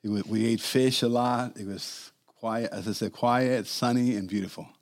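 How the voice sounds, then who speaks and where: A man's voice: medium pitch, fairly even intonation, normal speed. A man in his sixties, born and raised in Greece, telling stories as a podcast guest with an easy, chuckling manner. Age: 60s. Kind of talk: interview storytelling.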